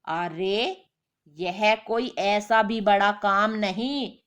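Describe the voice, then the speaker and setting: A woman voicing a lazy, whiny husband who makes indignant, self-justifying excuses in an animated moral story. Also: medium pitch, drawn-out words. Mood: smug, carefree.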